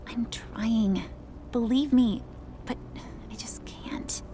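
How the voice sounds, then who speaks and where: An actress voicing a sulky, doubting teenage daughter in a video game, complaining and blunt. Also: female voice, a little high, lively intonation, measured pace, a little mellow, slight background noise. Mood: frustrated, worried. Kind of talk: video game cutscene acting.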